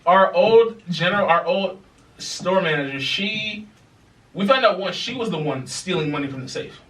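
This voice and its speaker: A young man co-hosting a podcast, telling a story in a casual, animated way, laughing and acting out other people's lines. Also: high-pitched, normal speed. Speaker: podcast co-host. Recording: echoey room, mic a bit far.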